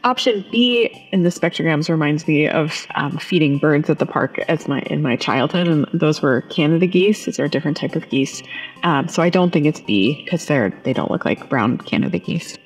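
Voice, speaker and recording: A woman's voice: sits low, animated, moderate pace, soft background music, poor audio. A woman in her thirties, a professor, talking through her reasoning conversationally on a video call. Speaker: biology professor, game show contestant. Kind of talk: thoughtful conversational explanation. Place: indoor room, video call.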